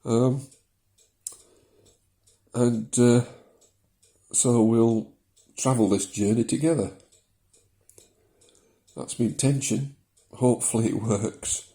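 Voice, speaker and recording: A man in his seventies from South Yorkshire with a softened Yorkshire accent, talking casually and hesitantly into his phone in his living room. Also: medium pitch, steady tone, slow, long pauses. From South Yorkshire, England. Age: 70s. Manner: rambling, hesitant, with many ums and small laughs. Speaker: vlogger. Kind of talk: casual personal vlog. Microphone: mobile phone.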